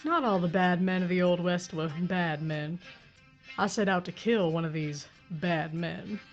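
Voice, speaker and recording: A woman's voice: lowish voice, measured pace, highs cut off, faint music behind. Woman narrating a tale. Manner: reflective, storytelling. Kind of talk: scripted narration.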